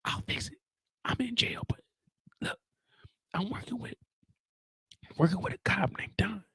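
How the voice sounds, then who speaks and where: A man's voice: extremely high pitch, lively intonation, creaky, slight background noise, voice pushed up, heavily acted. A man voicing a nervous jailed man who whispers frantically into a smuggled phone. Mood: hushed, panicky. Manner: hushed, rushed whisper.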